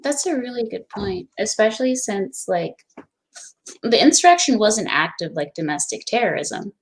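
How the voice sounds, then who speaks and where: A woman's voice: mid-range voice, big pitch swings, normal speed, slight background noise. A young woman moderating a discussion, speaking earnestly and backing others up with short agreements. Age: young adult. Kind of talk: casual discussion.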